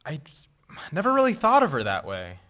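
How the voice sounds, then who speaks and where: A man playing a dry-witted drifter in a radio play, with deadpan delivery. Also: fairly high pitch, a little fast, full character voice.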